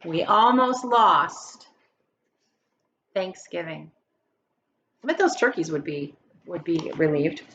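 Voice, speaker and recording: A woman's voice: lowish voice, lively intonation, takes it slowly, pauses often, a bit bright, muffled recording, a little hiss or hum. A woman reading a children's picture book aloud like a teacher, with emphatic, dramatic phrasing and questions put to her listeners. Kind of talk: expressive children's story read-aloud.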